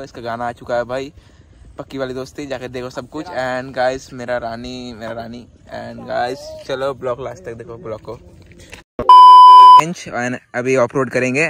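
A man's voice: mid-range voice, a bit bright, rough recording. A young man narrating a casual motovlog while riding outdoors. He talks in a chatty way, often repeating "guys" and "matlab" as fillers. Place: outdoors on dirt tracks beside a pond. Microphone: phone or action camera on the bike. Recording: wind and motorcycle engine noise.

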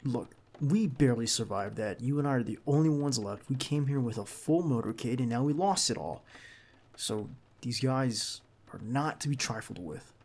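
A man playing a protective, earnest bodyguard in an ASMR roleplay, speaking haltingly and insistently straight to the listener. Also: medium pitch, lively intonation, a little mellow. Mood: stern, concerned. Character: protective, earnest young male bodyguard to a crime boss. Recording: clear background noise under the voice.

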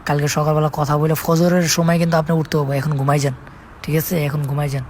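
A Bangladeshi speaker talks at a rapid clip in casual, everyday conversation. The manner is insistent and keeps checking for understanding, over faint background noise. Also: mid-range voice, little pitch movement, a little mellow.

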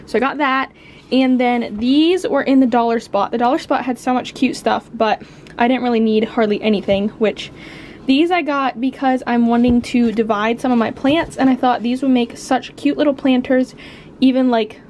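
A woman's voice: fairly high pitch, a little mellow, slight background noise. A young American woman chatting informally and casually, as a vlogger talking to her viewers. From United States. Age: young adult. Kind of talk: casual vlog chat.

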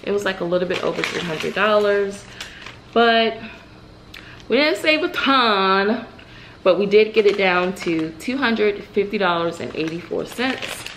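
Slow, gravelly woman chatting to viewers. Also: medium pitch, fairly bright tone, a little hiss or hum, poor audio. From United States. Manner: chatty, talks straight to the viewers with many fillers. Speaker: vlogger. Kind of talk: casual grocery haul vlog. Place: bright room at home, indoors.

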